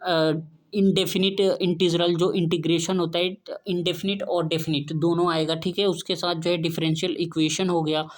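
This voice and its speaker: A man's voice: a little high, level tone, moderate pace. Young man with explaining informally. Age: young adult. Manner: repetitive check-in tags, listing topic by topic. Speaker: exam-prep tutor. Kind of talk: informal syllabus explainer in Hindi mixed with English terms.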